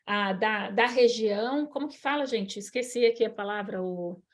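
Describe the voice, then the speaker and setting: A woman's voice: mid-range voice, moderate pace. A woman with a Brazilian Portuguese accent hosting an online church health class, talking in an encouraging, preacherly way. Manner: warm encouraging preacherly, addresses listeners as queridos. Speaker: host.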